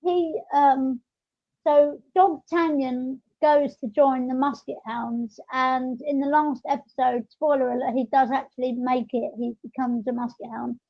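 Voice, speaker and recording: High-pitched woman, halting podcast chat. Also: fairly even intonation, no echo. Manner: rambling, halting explanations. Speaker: podcast host. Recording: dull, lo-fi audio.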